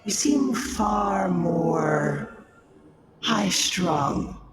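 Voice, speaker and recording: Audio drama entity, male actor, higher-set voice, unhurried and condescending, ominous collector of souls, echoey. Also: expressive, a loud crowd, exaggerated voice. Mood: condescending calm. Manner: condescending, unhurried, articulate, quietly menacing. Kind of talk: scripted audio drama dialogue.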